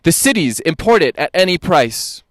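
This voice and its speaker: A man performing a dramatic reading of an old lecture, with deliberate, emphatic, oratorical phrasing. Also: a little high, sing-song, no room sound. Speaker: dramatic reader.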